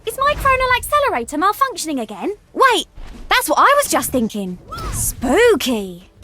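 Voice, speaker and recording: An actress playing a perky, excitable young female adventurer in a video game, chirpy and exclaiming. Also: very high-pitched, normal speed, a little hiss or hum, exaggerated voice. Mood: surprised, excited. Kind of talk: video game character acting.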